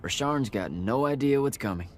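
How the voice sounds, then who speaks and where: An actor playing an irreverent young street thief in a video game, bantering casually and sardonically. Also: male voice, medium pitch, lively intonation, moderate pace, background noise, close to an everyday voice. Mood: wry, questioning.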